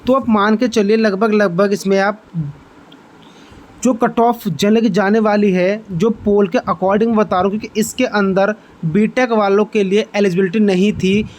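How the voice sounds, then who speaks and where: A man's voice: high voice, fairly even intonation, normal speed, darkish tone, rough recording. A man hosting an informational exam update, explaining figures step by step and addressing viewers directly. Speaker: exam-update channel host.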